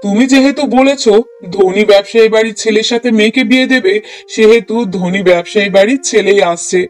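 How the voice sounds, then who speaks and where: A middle-aged cartoon father, played by a man with an unusually high voice for a man. He is stern and exasperated with his family. He lectures firmly and reproachfully. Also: brisk, close to an everyday voice. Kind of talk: Bengali moral-story cartoon dubbing.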